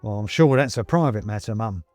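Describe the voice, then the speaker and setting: A man's voice: fairly low pitch, expressive, a little fast, darkish tone, soft background music, close to an everyday voice. A man voicing a fussy, chatty little male china ghost in a storybook, with genteel old-fashioned phrasing and needling politeness. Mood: nosy, shocked.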